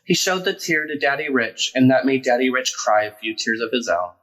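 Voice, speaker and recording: Man, picture-book storyteller, expressive, emphatic, bright projected tone, mid pitch. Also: a little fast, rough recording. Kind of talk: children's picture book read-aloud.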